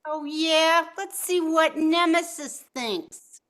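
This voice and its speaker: A bossy, jealous wife from a noir radio comedy, played by a woman. The voice is very high and forceful, drawn out at a slow pace, scolding and full of sarcasm. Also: full character voice. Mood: scornful.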